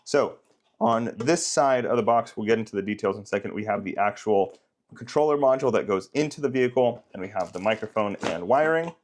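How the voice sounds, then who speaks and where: A man's voice: lowish voice, a little fast, a little hiss or hum. A man giving a casual, explanatory unboxing narration as a product reviewer, in an informal way.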